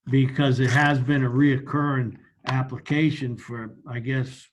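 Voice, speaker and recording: American man, mid-pitched, measured explanations. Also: steady tone, poor audio. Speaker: committee member and meeting host. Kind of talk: informal explanatory meeting talk. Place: remote video meeting.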